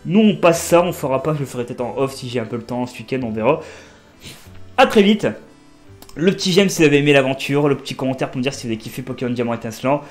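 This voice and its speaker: A man with a blocked nose doing casual, excitable let's play commentary as a gaming creator. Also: fast, soft background music. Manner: excitable, pitch jumping up on exclamations.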